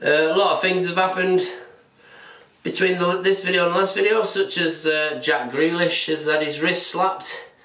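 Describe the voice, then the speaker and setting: A man's voice: sits high, steady tone, normal speed, sharp tone, recorded from a distance. A bearded man in his forties, seated at a table in a small room, talking casually to camera as a football fan, chatty and plain-spoken. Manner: chatty, plain-spoken, self-described pessimist. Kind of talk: casual solo commentary to camera. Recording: roomy echo, mic set back.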